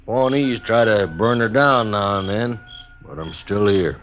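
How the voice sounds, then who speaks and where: An actor playing a quiet, troubled, gray-haired frontier station keeper with a terse Western American delivery in a radio western. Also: male voice, on the low side, unhurried, lo-fi sound. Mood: guarded. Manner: terse, laconic. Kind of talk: old-time radio western acting. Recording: old radio recording with background noise.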